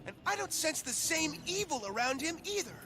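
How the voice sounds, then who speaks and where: A man's voice: very high-pitched, very animated, brisk, exaggerated voice. An actor voicing an earnest teenage boy hero who speaks in a thoughtful, sincere way. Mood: puzzled. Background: dub soundtrack music.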